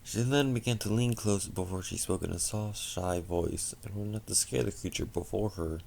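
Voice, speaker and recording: A man with a low, creaky voice reads fiction aloud. He sounds tired and halting, often repeating words as he goes. The recording carries a faint noise. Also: darkish tone. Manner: halting, stumbling over words. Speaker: narrator reading fan fiction. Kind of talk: amateur story narration with asides.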